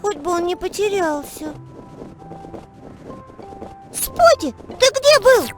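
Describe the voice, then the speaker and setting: A woman voicing a cheerful, caring little girl who takes charge in a children's educational cartoon, eager and expressive. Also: extremely high pitch, slow pace, over music, full character voice. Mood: excited, caring.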